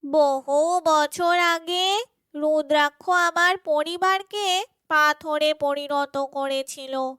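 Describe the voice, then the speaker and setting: A woman voicing a gentle magical little silver deer who guards a forest, speaking slowly and solemnly in an animated fairytale. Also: high voice, no echo, studio quality, full character voice. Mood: grateful, solemn. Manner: slow, solemn speech.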